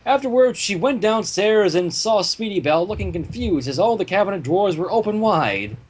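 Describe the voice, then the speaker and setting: High-pitched, lively man, noisy mic. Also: a little fast. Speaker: fan fiction narrator. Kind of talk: story narration and casual banter. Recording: noisy home mic with clicks.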